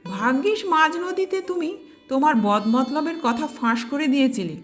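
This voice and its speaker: Quick-witted cartoon monkey, woman performer, high-pitched and persuasive, expressive storytelling over a musical bed. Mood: mocking, relieved. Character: clever, quick-witted monkey, loyal friend turned mocking. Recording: music behind the voice.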